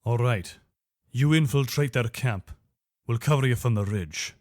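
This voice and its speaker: A man's voice: medium pitch, a little slow, darkish tone, dry recording, clean studio sound, lightly acted. A man playing a loyal, wary soldier of an imperial legion in a video game, speaking in an earnest, soldierly manner. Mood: earnest, steady. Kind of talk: video game character voice lines.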